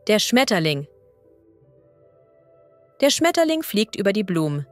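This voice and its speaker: A woman as a language teacher reading idioms and vocabulary slowly and clearly. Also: mid-range voice, sing-song, soft background music. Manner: slow, clear and deliberate.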